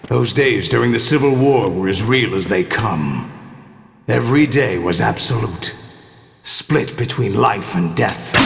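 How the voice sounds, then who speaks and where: An actor playing a commanding, scheming older villain, delivering a measured, condescending, theatrical monologue in a video game cutscene. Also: male voice, fairly low pitch, vocal fry, heavily acted. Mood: taunting. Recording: echoey hall, long reverb, faint music. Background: faint music.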